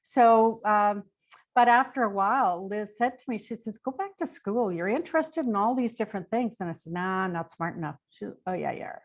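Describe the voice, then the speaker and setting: A woman's voice: medium pitch, moderate pace. An older woman with a Canadian accent, speaking as an interview guest in an informal, rambling, anecdotal way. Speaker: interview guest, retired police dispatcher. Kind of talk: conversational personal storytelling.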